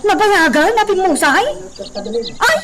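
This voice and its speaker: A woman's voice: very high voice, expressive, unhurried, music in the background. A woman with an Ilocano accent playing a chatty, inquisitive village woman in a radio drama, singsong and exclamatory. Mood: puzzled, chatty. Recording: distant mic, clearly noisy background.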